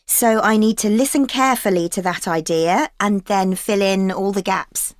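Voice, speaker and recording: A woman's voice: medium pitch, normal speed, dry recording, lightly acted. Actress as an eager young female student. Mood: earnest. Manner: eager, agreeable, laughs often. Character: keen young female events-management student. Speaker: student planning a work placement. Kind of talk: scripted exam dialogue. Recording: dry studio recording.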